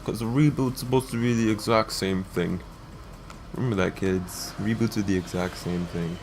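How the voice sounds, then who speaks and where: A man's voice: lowish voice, moderate pace, a little mellow. A man casually commentating while he plays a game, in short, crudely joking remarks. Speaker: let's play player and commentator. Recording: noticeable background noise.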